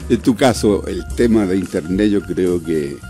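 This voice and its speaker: A man's voice: sits low, normal speed, warm tone, soft background music. A Chilean man in his sixties hosting an interview, encouraging his guest and finishing his words. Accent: Chilean Spanish. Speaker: host, retired teacher. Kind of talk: interview questions.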